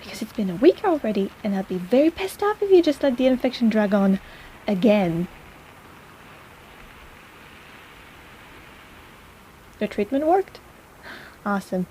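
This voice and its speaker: A woman's voice: medium pitch, very animated, a little fast, warm tone, a little hiss or hum, lightly acted, poor audio. A woman playing a sarcastic but caring apothecary in an intimate personal-attention audio roleplay, with a playful, chiding manner. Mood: friendly, teasing.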